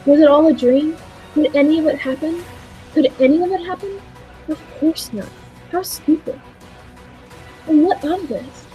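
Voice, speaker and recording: A woman's voice: high-pitched, normal speed, pauses often, dull audio, soft background music, natural voice. A woman playing a dazed time traveler who narrates in a 1940s-style radio play with dramatic, questioning delivery. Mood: incredulous.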